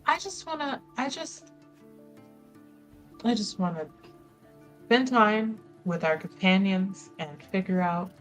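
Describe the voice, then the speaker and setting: A woman's voice: medium pitch, expressive, takes it slowly, pauses often, faint music behind. A woman playing a tabletop roleplaying game, improvising casual in-character dialogue, hesitant and repeating her phrases.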